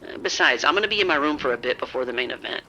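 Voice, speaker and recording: An actor playing a reckless gambler in an audio drama, cocky and breezy, always coaxing and persuading. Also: male voice, medium pitch, animated, rapid-fire, sharp tone, a little hiss or hum, low-quality recording. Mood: dismissive, reassuring.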